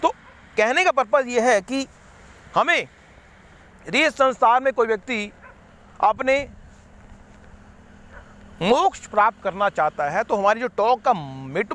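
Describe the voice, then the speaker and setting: A man's voice: sits high, a bit bright. A man giving an unscripted spiritual talk, rambling and repeating himself, slipping English words into his sentences. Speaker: spiritual speaker.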